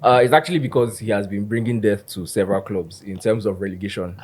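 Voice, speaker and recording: A man's voice: fairly low pitch, fast talker, darkish tone, breathy edge. Nigerian man telling football trivia. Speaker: podcast panelist. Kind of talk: casual football podcast chat.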